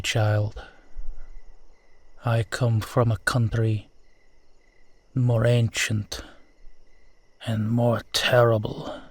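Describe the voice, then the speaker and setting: A man playing a proud, amused centuries-old vampire in a roleplay audio, speaking in a measured, theatrical, formal and condescending way. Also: medium pitch, dry recording. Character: suave 450-year-old male vampire guiding a lost stranger, proud, amused. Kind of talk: slow dramatic roleplay audio monologue.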